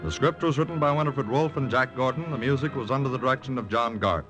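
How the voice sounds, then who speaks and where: A man's voice: medium pitch, music in the background. A man announcing formally from a script in 1940s radio style. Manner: formal, measured. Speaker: radio announcer reading credits.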